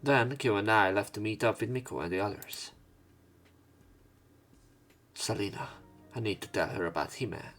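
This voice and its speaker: A man narrates a visual novel let's play, reading the text aloud. His voice sits on the low side, with faint music behind him. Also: animated, moderate pace, pauses often, a bit bright.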